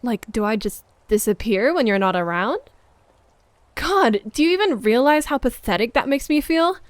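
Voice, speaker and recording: A fairly high voice in a very dry recording, a woman acting out a needy, wounded girlfriend. She is accusing and emotional, shifting from pointed reproach to breathy, hushed murmurs in an intimate roleplay monologue. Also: big pitch swings, moderate pace, dry recording. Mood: accusing, upset. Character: hurt, needy, accusing live-in girlfriend waiting up late.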